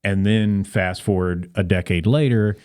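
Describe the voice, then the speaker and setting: A man speaking as a guest in a conversational interview, explaining things in an earnest, measured way. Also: sits low, steady tone, a little mellow, clear, resonant tone. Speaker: podcast guest, Rotary club volunteer.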